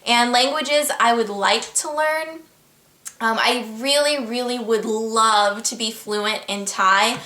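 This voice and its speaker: Bright, chatty young American woman. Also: fairly high pitch, slow, echoey room. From United States. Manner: chatty, earnest and upbeat, with frequent laughs. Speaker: vlogger. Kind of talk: casual chat to camera, answering viewer questions. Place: at home, indoors.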